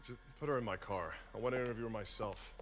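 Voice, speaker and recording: An actor playing a middle-aged police investigator in charge in a video game, brusque and natural. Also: male voice, fairly low pitch, fast, close to an everyday voice.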